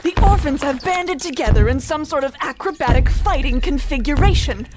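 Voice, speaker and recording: A woman's voice: high voice, lively intonation, moderate pace, over loud music. A woman playing an earnest, gushing, wide-eyed wife in a theatrical comic audio drama. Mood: amazed.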